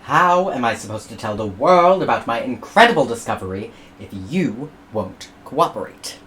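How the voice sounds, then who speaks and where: A woman's voice: rumbling low, big pitch swings, moderate pace, a little hiss or hum. Woman playing a pompous English explorer-scientist. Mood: eager. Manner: eager, prying, fussy. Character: fussy, pompous English colonist scientist, man.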